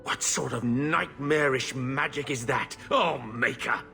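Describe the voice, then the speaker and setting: A man playing a distraught, bitter uncle in a fantasy video game, with a rough voice and forceful, emotional delivery. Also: mid-range voice, unhurried, faint music behind. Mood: bitter, anguished. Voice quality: raspy, rough. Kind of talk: dramatic video game dialogue. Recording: reverberant hall sound.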